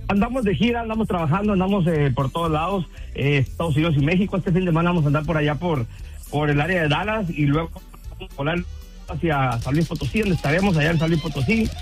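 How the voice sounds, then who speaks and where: A man from Durango, a band's singer speaking in a relaxed, rambling and friendly way on a phone-in radio interview. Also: fairly high pitch, quick pace, soft background music. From Durango, Mexico. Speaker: band vocalist, phone guest.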